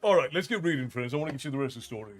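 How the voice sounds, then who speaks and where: Relaxed chatty streamer guy, irish accent with american mixed in, soft music behind. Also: medium pitch, very fast. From Ireland. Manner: relaxed, chatty, thanks viewers often. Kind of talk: casual chat with viewers.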